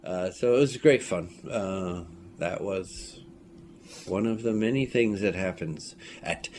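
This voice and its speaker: Low male voice, casual interview storytelling, rambling and animated, noisy room ambience. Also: slow. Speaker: filmmaker and audio drama creator being interviewed.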